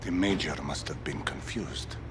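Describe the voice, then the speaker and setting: A man's voice: a bass voice, moderate pace, soft background music. A man acting a stern, dismissive military general in a video game. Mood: stern, indignant. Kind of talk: cinematic military game dialogue.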